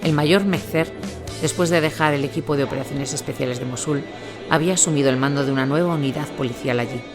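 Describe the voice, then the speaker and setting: A man's voice: on the high side, quick pace. A man narrating scripted war reportage in a read, journalistic style. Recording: music bed under voice.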